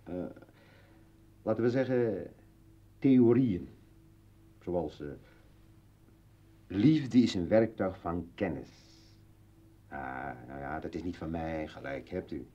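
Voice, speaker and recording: An actor playing a brooding, philosophical old man with bitter irony in a declamatory, halting radio play monologue. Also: deep voice, dark tone. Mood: musing, ironic. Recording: dull vintage radio drama recording.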